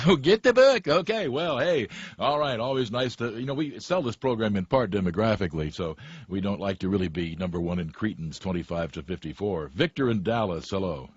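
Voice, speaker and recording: A man hosting a call-in radio talk show, speaking in a dry, opinionated, measured way. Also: fairly low pitch, lively intonation, husky, no echo. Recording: dull dry studio radio sound.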